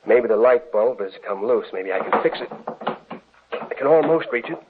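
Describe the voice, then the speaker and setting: A man's voice: medium pitch, animated, moderate pace, heavy background noise, lightly acted. An actor playing an earnest, grieving older father in a 1940s-style radio drama. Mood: uneasy. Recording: tinny, narrow old radio recording.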